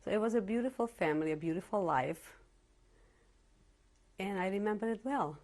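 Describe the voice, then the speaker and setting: A woman's voice: medium pitch, moderate pace, darkish tone. A woman in her seventies from Czechoslovakia, whose first language is not English, recalling her early life in an oral history interview with frequent hesitations. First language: Yiddish or Slovak. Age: 70s. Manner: reflective, with frequent hesitations and restarts. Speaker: interviewee, Holocaust survivor. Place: home interview in Cleveland.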